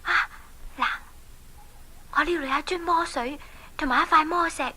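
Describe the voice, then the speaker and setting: A woman with a Hong Kong Cantonese accent playing a gentle, secretive young princess in a children's audio drama. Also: sits high, moderate pace, a little hiss or hum. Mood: secretive, urgent.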